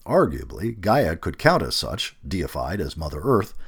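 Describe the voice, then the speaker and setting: Male narrator for long-form article. Low register, animated intonation, emphatic and deliberate phrasing. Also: gravelly, husky. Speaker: narrator reading a magazine feature aloud.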